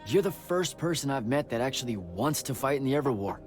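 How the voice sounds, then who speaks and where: A man's voice: mid-range voice, animated, fast, natural voice. A man playing a sardonic young street thief with a little magic, bantering casually in video game dialogue. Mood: teasing, questioning. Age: young adult. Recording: music behind the voice.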